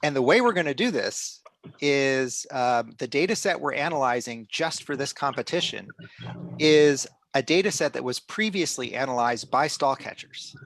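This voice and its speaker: A middle-aged man hosting a live online stream, explaining the project in a relaxed way with many restarts and fillers. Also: medium pitch, normal speed, a little hiss or hum. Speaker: host of a live citizen-science stream.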